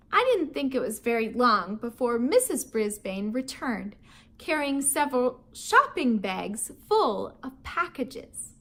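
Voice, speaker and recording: A woman teacher reading a children's novel aloud, with expressive, animated storytelling. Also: fairly high pitch, takes it slowly.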